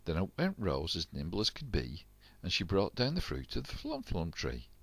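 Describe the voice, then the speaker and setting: An older man reads a rhyming picture book for his grandchildren, bouncing along with the rhythm. His voice is deep but swings widely in pitch. The sound is close and dry. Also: moderate pace, vocal fry, dry recording. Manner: animated, rhythmic rhyming delivery. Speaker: storyteller reading to grandchildren. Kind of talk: storybook reading aloud.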